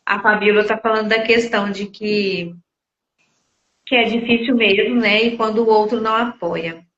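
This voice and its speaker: A woman's voice: mid-range voice, fairly even intonation, moderate pace, fairly bright tone, echoey room. A Brazilian woman in her sixties reflecting casually in conversation as a podcast guest, searching for words as she goes. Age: 60s. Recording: slightly dull lo-fi audio.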